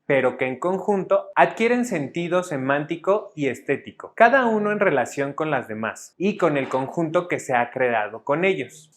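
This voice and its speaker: Man, slightly high voice, scripted instructional narration, formal, didactic, orderly delivery. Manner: formal, didactic, clearly structured.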